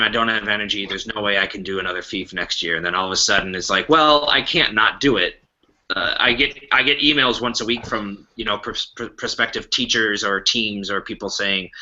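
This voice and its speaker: A man's voice: on the low side, sharp tone. A man chatting casually as a podcast guest who organizes festivals. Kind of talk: casual chat. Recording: dull lo-fi recording.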